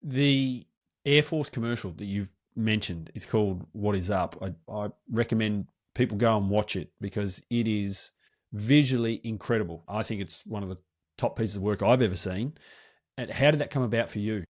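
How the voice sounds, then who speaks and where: A man's voice: on the low side, normal speed. A man hosting a podcast, asking a guest about his work with admiration. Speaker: podcast host. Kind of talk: interview questions.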